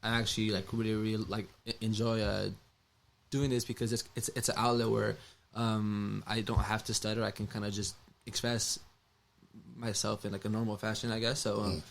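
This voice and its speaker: A man's voice: fairly low pitch, little pitch movement, moderate pace, vocal fry. A man in his twenties with a stutter, speaking hesitantly with many fillers and restarts. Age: 20s. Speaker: musician, interview guest.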